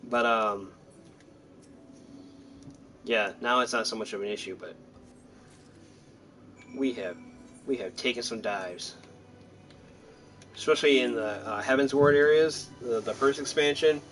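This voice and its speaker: A man's voice: medium pitch, measured pace, long pauses, fairly bright tone, faint music behind. A man giving casual, rambling, self-deprecating commentary while playing a video game. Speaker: gaming streamer. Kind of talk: casual let's-play commentary.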